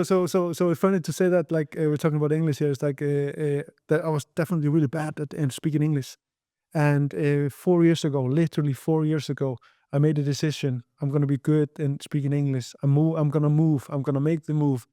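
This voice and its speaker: A man's voice: on the high side, little pitch movement, brisk, dark tone, no echo, studio quality. A young Icelandic man speaking English as a second language in a podcast interview, earnest, with many repeated words and restarts. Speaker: guest entrepreneur.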